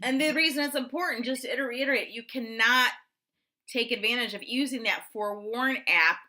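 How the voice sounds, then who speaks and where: A woman's voice: on the high side, normal speed, sharp tone. A woman co-hosting a casual show, explaining things in an enthusiastic, conversational way and repeating points for emphasis. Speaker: show co-host.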